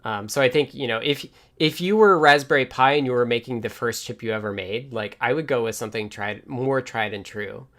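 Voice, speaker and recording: A man speaks in an informal, conversational way at an average pitch. He hosts like a developer on a livestream, working through technical answers out loud as he goes. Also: brisk, few pauses. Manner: informal, thinks aloud while answering viewer questions. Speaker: livestream host and software developer. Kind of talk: casual technical chat.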